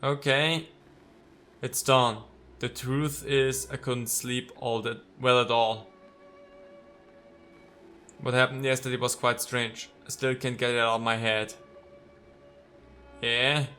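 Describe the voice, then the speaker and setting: A male let's player with a bright, forceful voice at an average pitch. He reads visual novel text aloud and drops in playful, wry asides. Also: flat delivery, takes it slowly, pauses often, soft background music.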